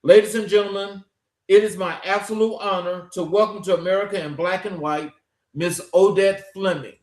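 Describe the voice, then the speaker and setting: High-pitched man hosting in an echoey room. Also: steady tone, moderate pace, darkish tone. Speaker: show host. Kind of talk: scripted introduction and interview hosting. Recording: roomy, echoing sound.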